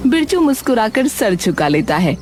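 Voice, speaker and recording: A woman's voice: a little high, moderate pace, soft background music. A woman narrating a cartoon story in a scripted storytelling style. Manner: storytelling, expressive.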